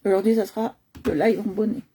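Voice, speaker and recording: A woman from Nice hosts a casual live coloring stream from home on a computer. Her voice is very dark and mellow with a husky edge, and she rambles along with plenty of fillers and restarts. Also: mid-range voice, fairly even intonation, fast. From Nice, France. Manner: chatty, many hesitations and fillers.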